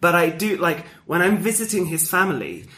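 A man's voice: sits high, fairly quick, low-quality recording. A man, co-hosting a casual chat show and telling stories with lots of acted-out voices. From Mexico. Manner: animated storyteller, acts out quoted voices. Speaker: podcast co-host.

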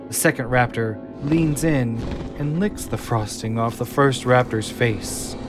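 A man reading scripted narration for an audio drama in a measured, often slow and hushed way. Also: medium pitch. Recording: clear music underneath.

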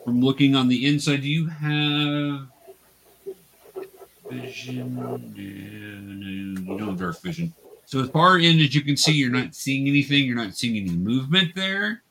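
Bright-voiced man running a tabletop game. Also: mid-range voice, very animated, a little slow, vocal fry, slight background noise, rough recording. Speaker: game master. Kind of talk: narrating and refereeing a beginners' tabletop game.

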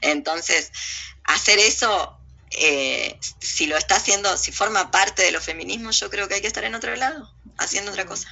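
Woman, Buenos Aires accent, very bright cutting voice, emphatic and sardonic interview guest, slightly dull lo-fi audio. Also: medium pitch, normal speed, creaky. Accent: Buenos Aires Argentine Spanish. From Argentina. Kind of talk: opinionated political interview talk.

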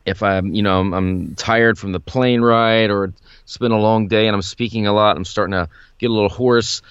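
A man co-hosting a podcast about singing, talking in a confident, brisk, plain-spoken way. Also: on the low side, steady tone, fairly bright tone, clean tone, no room sound. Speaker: vocal coach and author, podcast co-host. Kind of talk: casual podcast chat. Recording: dull, lo-fi dry sound.

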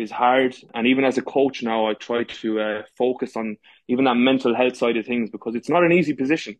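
Fast-talking Irish man in his thirties. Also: medium pitch, no room sound, muffled recording. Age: 30s. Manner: many false starts and fillers. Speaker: podcast guest, goalkeeper and coach. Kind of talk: casual interview talk.

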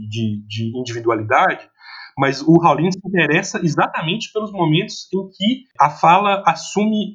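A Brazilian man discussing film analytically off the cuff, haltingly, repeating words and often adding tag questions. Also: mid-range voice, fairly bright tone, husky, highs cut off. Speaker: film commentator.

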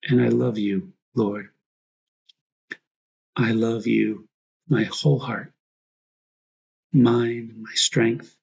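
Husky, gravelly man leading prayer. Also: mid-range voice, level tone, a little mellow, dull audio. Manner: reflective, prayerful, pausing in short phrases. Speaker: priest, meditation guide. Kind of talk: guided prayer meditation.

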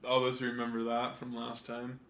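A man in his twenties speaks casually at a middle pitch, tossing in teasing quips among friends. His voice carries a noticeable room echo. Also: completely flat delivery, fairly quick, fairly bright tone. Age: 20s. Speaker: podcast co-host. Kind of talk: casual podcast chat.

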